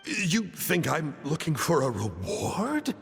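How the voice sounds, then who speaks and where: Theatrical, swooping, high-voiced sly stranger. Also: male voice, huge pitch swings, gravelly, fairly bright tone, soft background music, exaggerated voice. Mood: playfully ingratiating. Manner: theatrical, ingratiating, drawn-out. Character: theatrical, smooth-talking friendly male stranger, slyly ingratiating. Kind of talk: video game cutscene acting. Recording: long reverberant hall echo.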